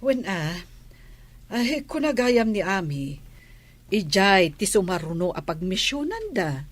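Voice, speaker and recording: A woman with a husky voice playing a caring older mother in a drama. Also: mid-range voice, sing-song, takes it slowly, no echo. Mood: chatty. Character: caring older mother, chatting with child and friend. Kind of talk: Filipino radio-style drama dialogue.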